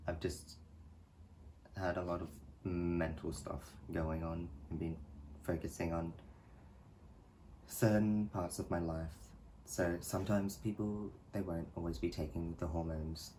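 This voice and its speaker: Soft-spoken young man, deep and mellow. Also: little pitch movement, unhurried, slight background noise, poor audio. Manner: soft, hesitant, giggly. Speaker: vlogger. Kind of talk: personal vlog talk.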